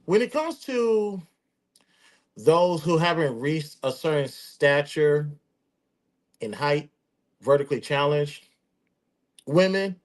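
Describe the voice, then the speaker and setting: A man's voice: fairly high pitch, animated, slow pace, long pauses. A man in his late thirties hosting a live talk show, speaking in a casual, joking way. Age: 30s. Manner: joking, teasing. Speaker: host.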